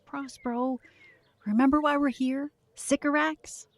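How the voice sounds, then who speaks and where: An actress playing a focused, supportive young woman, steady and earnest, in an audio drama. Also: high-pitched, a little slow, no room sound.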